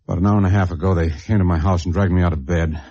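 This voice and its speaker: An actor playing a battered, guarded informant in an old radio drama, halting and weary, as if hurt and winded. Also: male voice, low-pitched, steady tone, warm tone. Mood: shaken, resigned. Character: battered, guarded male party member turned informant. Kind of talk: 1950s radio drama acting. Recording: dull vintage radio recording.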